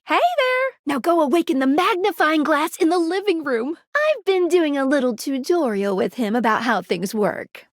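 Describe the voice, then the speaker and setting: A woman voicing a perky, earnest guide character in a video game, chipper, quippy and expressive with an eager, slightly nervous streak. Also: sits high, dry recording, clean studio sound. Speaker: game character guiding the player. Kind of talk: animated video game voice acting.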